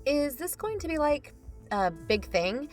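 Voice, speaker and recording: A woman's voice: high voice, very animated, unhurried, soft background music. A woman playing a practical, cautious podcast co-host in a naturalistic audio drama.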